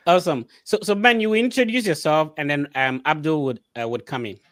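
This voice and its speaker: A man's voice: fairly high pitch, brisk, fairly bright tone, dry recording, clean studio sound. A man hosting a casual live interview over an online video call. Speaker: host of an online interview show.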